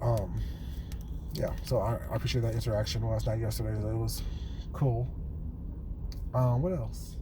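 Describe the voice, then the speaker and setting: A man recording a casual vlog on his way to work, with a groggy morning voice, rambling in a relaxed way and often asking "you know what I'm saying". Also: lowish voice, moderate pace, pauses often. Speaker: vlogger. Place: car on the way to work. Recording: car and road noise behind.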